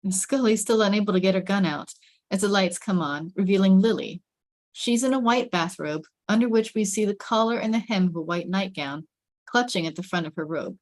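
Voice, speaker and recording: A woman's voice: mid-range voice, brisk. A woman narrating the scene and camera directions of a script at a table read. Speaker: stage directions reader.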